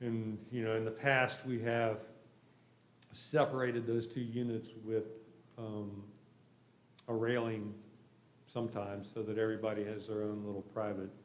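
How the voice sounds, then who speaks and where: A man speaks in a low, fairly even voice, explaining plans informally and tentatively. The sound is roomy and echoey, with faint noise behind him. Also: unhurried. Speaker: project applicant presenting a development plan. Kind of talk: informal explanation at a public meeting.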